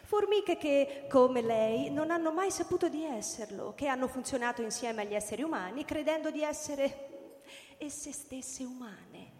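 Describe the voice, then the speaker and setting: A woman playing a coolly clinical doctor in a radio drama, speaking in a measured way with pointed pauses. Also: high voice, expressive, a little mellow. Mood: clinical, detached. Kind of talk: theatrical radio drama acting. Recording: echoey hall with long reverb.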